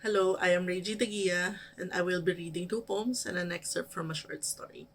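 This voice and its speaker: A woman with a Filipino accent and a creaky voice, reading her own poetry dramatically. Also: on the low side, fairly even intonation, a little fast, fairly bright tone. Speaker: poet reading own work.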